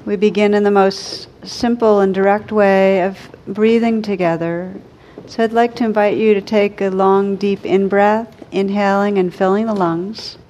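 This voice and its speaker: A woman meditation teacher leading a guided meditation in a gentle, unhurried, soothing way with long pauses. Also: mid-range voice, fairly even intonation, lo-fi sound, slight background noise.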